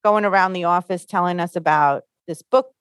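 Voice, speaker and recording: A woman's voice: fairly low pitch, fairly quick, a bit bright, dry recording. A woman librarian co-hosting a podcast, easygoing and chatty in casual talk. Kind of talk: casual chat. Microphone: studio microphone.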